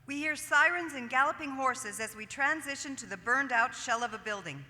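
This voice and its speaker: A woman's voice: high-pitched, lively intonation, brisk, bright, forceful tone, heavily acted. A woman reading stage directions as scripted narration in a theatre. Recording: echoey theatre hall from the stage.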